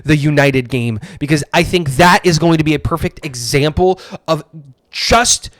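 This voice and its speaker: Animated, opinionated man, fairly high voice. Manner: animated, opinionated, fast bursts with many fillers. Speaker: podcast co-host. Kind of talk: casual sports podcast chat.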